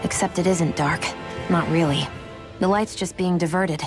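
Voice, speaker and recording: A proud young woman warrior from an anime role-playing game , played by an actress. She speaks in a low female register, curt and superior, over faint music. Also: fairly even intonation, moderate pace, natural voice. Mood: condescending explaining. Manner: matter-of-fact, curt, superior. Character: cool, blunt young female sharpshooter, proud and condescending. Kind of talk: English-dubbed anime game voice acting.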